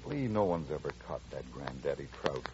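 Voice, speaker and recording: A man's voice: extremely low pitch, lively intonation, moderate pace. A man playing a self-assured, teasing and tender suitor in an old-time radio drama, with bantering, theatrical delivery. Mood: earnest, coaxing. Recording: muffled, noisy vintage radio recording.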